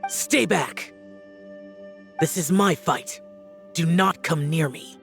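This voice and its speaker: A woman's voice: deep voice, slow, creaky, faint music behind, heavily acted. A woman playing a stern, solitary young male warrior in a video game, terse, grave and commanding. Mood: stern, defiant. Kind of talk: dramatic video game acting.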